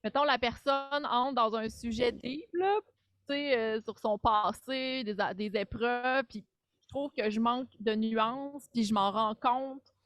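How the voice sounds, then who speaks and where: A fairly high-voiced woman with a Québécois accent chats as a podcast guest, recorded dry and close. She talks in an animated, self-deprecating way, full of little fillers. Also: a little slow, dry recording. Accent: Québécois French. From Quebec. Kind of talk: casual chat.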